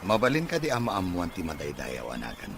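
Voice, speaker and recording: Bashful, hesitant bachelor, played by an actor, low but very varied pitch, drama delivery. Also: male voice, huge pitch swings, brisk, vocal fry, noisy background, full character voice. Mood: bashful, pleased. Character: bashful, awkward wealthy bachelor son. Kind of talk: Ilocano audio drama acting.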